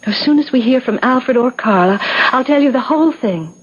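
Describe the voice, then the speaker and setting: A woman's voice: high-pitched, lively intonation, normal speed, breathy edge. A woman playing an uneasy wife confiding a secret, hesitant and weary, in a 1970s radio drama. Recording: dull, noisy old radio broadcast.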